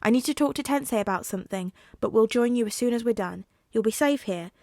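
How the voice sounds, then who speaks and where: A woman reading the lines of a calm, wry father in a measured, dry manner for a story. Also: fairly high pitch, dry recording, close to an everyday voice. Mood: reassuring.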